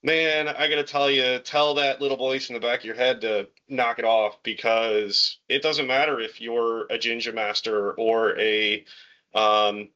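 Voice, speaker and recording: A man with a bright, forceful voice talks to his peers in an encouraging, conversational way and often repeats words. His voice sits in the middle range. The call audio sounds a little dull. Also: medium pitch, steady tone, a little slow. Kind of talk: casual video call chat.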